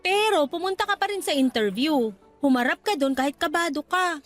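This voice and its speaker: High-voiced, encouraging young girlfriend. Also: a little fast, soft background music. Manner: expressive, coaxing, reassuring. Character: young supportive, encouraging girlfriend, upbeat and affectionate. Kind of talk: Tagalog radio drama acting.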